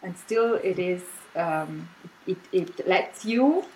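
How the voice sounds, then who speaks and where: A woman's voice: fairly low pitch, slow, a little hiss or hum, poor audio. A middle-aged woman from Austria, a native German speaker, chatting casually as an interview guest on a video call, laughing often and restarting her sentences. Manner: relaxed, laughs often, many fillers and restarts. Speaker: guest artist.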